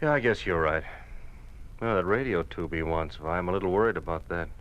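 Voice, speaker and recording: A low-pitched, earnest family man in an old-time radio drama. He speaks gently and hesitantly, with an anxious, reassuring air. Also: brisk, dull audio. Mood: resigned, worried. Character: earnest, anxious husband and father, deferential to officials.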